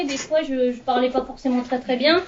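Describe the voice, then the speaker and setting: A young woman whose first language is Urdu, talking reflectively in an interview and often pausing to search for words. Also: sits high, fairly even intonation, noisy background. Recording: slightly muffled recording.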